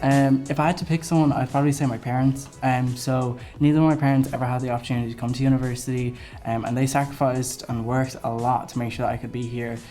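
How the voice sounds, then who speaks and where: A man's voice: medium pitch, flat delivery, quick pace, few pauses, mellow. A young man in his twenties with a Dublin Irish accent, answering questions earnestly for a student election campaign video, with frequent ums. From Ireland. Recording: music playing under the voice. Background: background music.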